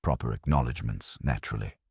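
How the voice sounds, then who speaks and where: A man's voice: very deep voice, quick pace, creaky, breathy edge, no echo, clean studio sound, pitched below the performer's own voice, full character voice. A man voicing a prim, embarrassed committee official in a mystery story, fussy and hesitant. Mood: defensive.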